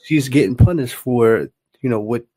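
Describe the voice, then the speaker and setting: Mid-pitched man, casual profane talk, dry sound. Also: moderate pace, warm tone, no echo. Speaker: commentator on a talk show.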